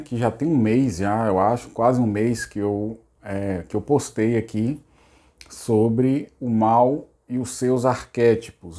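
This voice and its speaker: Hoarse middle-aged man. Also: mid-range voice, mellow. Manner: repetitive, rhetorical, casual asides. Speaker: psychologist hosting a channel. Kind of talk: unscripted spiritual self-help talk.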